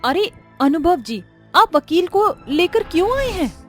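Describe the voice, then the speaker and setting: A woman's voice: very high-pitched, big pitch swings, unhurried, a bit bright, soft background music, full character voice. A woman voicing a young, dutiful but firm daughter-in-law in a moral-story cartoon, earnest and melodramatic. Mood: distressed, defiant.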